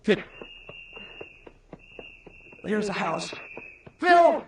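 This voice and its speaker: High-voiced, excitable young radio hero. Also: male voice, very slow, vocal fry, slight background noise, full character voice. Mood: frantic. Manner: urgent, excitable. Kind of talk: 1940s radio horror acting. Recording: roomy old-time radio recording.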